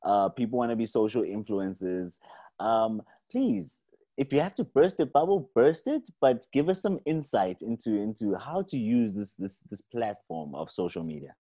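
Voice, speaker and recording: A young man asks questions over a video call, wearing earphones and sitting on a couch. His voice is low and mellow, and he hesitates often with "um" and "uh" before getting to the point. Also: animated, normal speed, slightly rough. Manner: hesitant, many "um" and "uh", laughs easily. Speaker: interviewer. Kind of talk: casual video-call interview. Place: living room, on a couch indoors. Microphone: earphone mic on video call.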